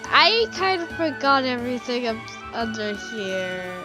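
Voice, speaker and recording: A woman giving casual live gameplay commentary in an excitable, slangy, rambling way. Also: fairly high pitch, measured pace. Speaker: game streamer. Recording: game music behind voice. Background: game music.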